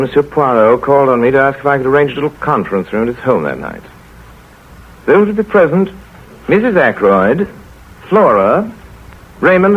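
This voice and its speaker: An actor playing a dry, measured village doctor who narrates the story, in a 1940s radio play. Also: male voice, mid-range voice, slightly rough. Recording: tinny old radio broadcast.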